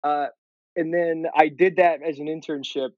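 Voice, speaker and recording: A man's voice: on the high side, steady tone, moderate pace, darkish tone, dry recording, lo-fi sound. A young man from Ohio, a comedian guest telling stories in casual podcast chat with lots of fillers and swearing. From Ohio, USA. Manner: rambling, many fillers, swearing.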